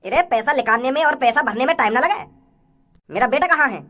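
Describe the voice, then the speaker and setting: A woman's voice: high-pitched, lively intonation, fairly quick, a bit bright. A woman voicing a protective, hot-headed father in a comic cartoon, speaking emphatically. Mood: angry, worried.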